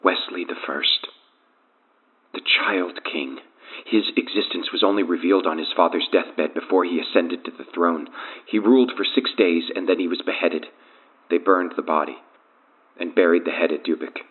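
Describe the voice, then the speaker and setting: An actor playing a powerful, coolly arrogant cult leader in an audio drama, with measured, taunting delivery and a deep, gravelly voice on a phone line. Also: male voice. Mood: grave, reverent. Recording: thin narrow phone line.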